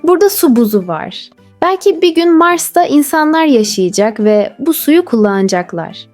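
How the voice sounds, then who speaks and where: A woman's voice: high-pitched, sing-song, moderate pace, a little mellow, no echo, faint music behind, voice pushed up. A woman voicing a knowledgeable, reassuring space guide who explains things to children in a storybook tale. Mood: informative.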